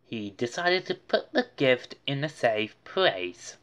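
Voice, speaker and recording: A woman's voice: a bass voice, sing-song, takes it slowly, fairly bright tone. A woman reading a children's storybook aloud as narration. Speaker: storybook reader.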